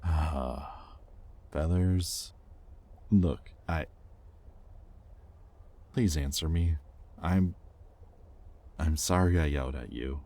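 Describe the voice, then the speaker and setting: A man playing a possessive, conflicted demon in an intimate audio roleplay, with halting, sardonic delivery and secret tenderness. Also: rumbling low, vocal fry, dark tone, a little hiss or hum, exaggerated voice. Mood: pleading, regretful. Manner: intimate, halting, sardonic, with sighs and muttered curses. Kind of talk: dramatic audio roleplay addressed to the listener.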